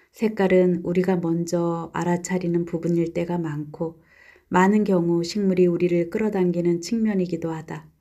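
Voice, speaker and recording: Woman, book reading, calm, gentle, even, lowish voice, mellow tone. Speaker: reader of a nonfiction book.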